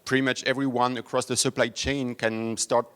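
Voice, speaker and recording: A man with a French accent addresses a workshop audience in a conference room. His voice sits at a middle pitch. His delivery is loose and unscripted, full of fillers and self-corrections as he opens the day. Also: little pitch movement, fairly bright tone, clear, resonant tone. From France. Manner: halting, many fillers and restarts. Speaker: conference host and foundation speaker. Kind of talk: opening talk at a tech workshop.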